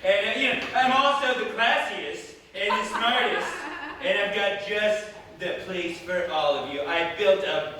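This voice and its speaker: A man's voice: high voice, measured pace, sharp tone. A man playing a boastful, bigoted monster hunter as a rambling, repetitive parody politician in a live comic radio play. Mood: boastful, defensive. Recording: echoey theatre, distant, noisy.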